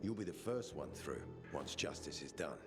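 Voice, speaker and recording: An actor playing a stern male peacekeeper officer who speaks in a firm, measured, commanding way. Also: very deep voice, expressive. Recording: game cutscene with music bed.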